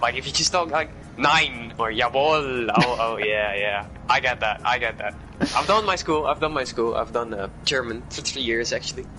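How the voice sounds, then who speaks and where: A Dutch-speaking man doing live, chatty commentary on a sim race over a headset, getting excited when the action picks up. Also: mid-range voice, normal speed, low-quality recording. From Netherlands. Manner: chatty, jokey, rises to excited shouts on action. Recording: live stream with loud music underneath. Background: loud music bed and racing car sounds.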